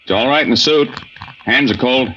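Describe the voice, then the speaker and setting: An actor playing a determined, stubborn navy diver in an old-time radio drama, terse and urgent. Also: male voice, medium pitch, brisk, natural voice. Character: determined, stubborn male navy deep-sea diver and narrator. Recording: dull, noisy vintage radio recording.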